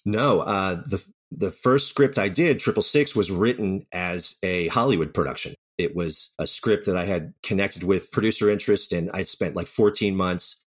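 Deep-voiced man in candid conversation. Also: normal speed, hardly any pauses. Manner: candid, self-deprecating. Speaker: writer and audio drama director, interview guest. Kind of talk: conversational interview storytelling.

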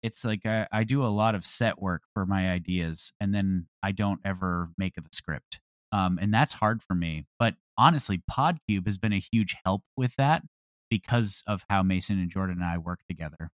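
A man's voice: deep voice, normal speed, no room sound. A man talking casually as a guest in an interview. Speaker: comedy podcast creator.

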